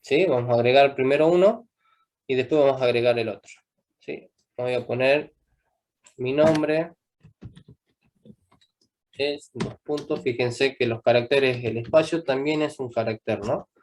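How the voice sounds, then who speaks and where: A man's voice: medium pitch, unhurried, long pauses, a little mellow, slight background noise. A young man teaching a live coding class, patiently checking in with students after almost every step. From Argentina. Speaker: programming teacher.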